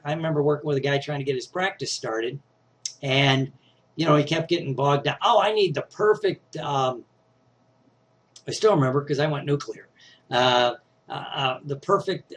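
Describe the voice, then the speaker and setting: A middle-aged man with a slightly scratchy voice, teaching success skills in a casual, conversational talk that he often punctuates with "right?". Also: fairly high pitch. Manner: conversational, frequent "right?" and "you know" tags. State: scratchy voice, clearing throat.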